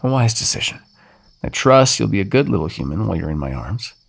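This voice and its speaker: A man playing a gravelly, mocking old vampire. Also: lowish voice, sing-song, quick pace, exaggerated voice. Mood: menacing. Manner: condescending, teasing, turns sharply threatening. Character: centuries-old male vampire, menacing and mocking. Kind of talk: ASMR audio roleplay.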